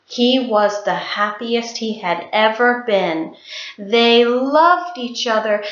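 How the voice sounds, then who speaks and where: A woman performing a folk tale as a storyteller, deliberate, expressive and suspenseful. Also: medium pitch. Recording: roomy, slightly dull sound.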